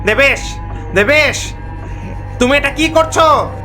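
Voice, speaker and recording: A man's voice: extremely high pitch, lively intonation, slow pace, sharp tone, soft background music, exaggerated voice. A man voicing a frightened, anxious villager in a dramatic cartoon, with pleading, panicky exclamations. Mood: panicked.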